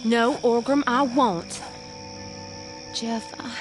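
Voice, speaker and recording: An actress playing an earnest young country sweetheart in a radio drama, with a rural Southern US accent and plain-spoken manner. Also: female voice, a little high, steady tone, slow, music in the background. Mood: resolute.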